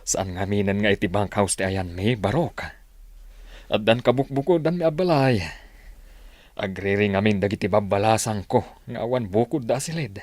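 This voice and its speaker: Easygoing middle-aged village father played by a man, Filipino accent, slightly low voice, dry sound, hesitant delivery. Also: moderate pace, darkish tone, no room sound, close to an everyday voice. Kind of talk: comedy drama acting.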